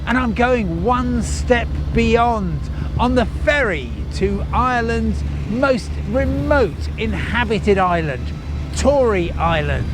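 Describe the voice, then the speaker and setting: A man's voice: sits high, background noise. A man presenting a travel documentary in polished, enthusiastic scripted narration.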